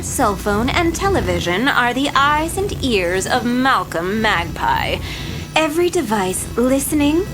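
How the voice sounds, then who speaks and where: Casting: coolly villainous female gang boss, unhurried and expressive, mocking audio drama delivery. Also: a little high, low-quality recording. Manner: deliberate, taunting, theatrical. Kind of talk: scripted audio drama acting.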